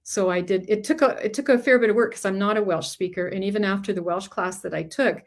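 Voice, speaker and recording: A woman's voice: mid-range voice, quick pace. A middle-aged woman from Canada, a guest author chatting casually in an interview, often restarting sentences and laughing between thoughts.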